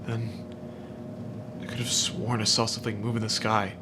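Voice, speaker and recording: A man playing a frightened marine private in a sci-fi audio drama, slangy and halting. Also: level tone, lightly acted. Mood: uneasy recounting. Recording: rumbling background noise.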